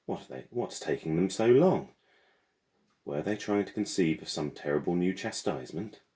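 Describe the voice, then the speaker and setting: A man's voice: low voice, animated, normal speed, warm tone, pitched above the performer's own voice. A man voicing a defiant, anxious young schoolgirl with a grim, questioning manner in a storybook. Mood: wondering, worried.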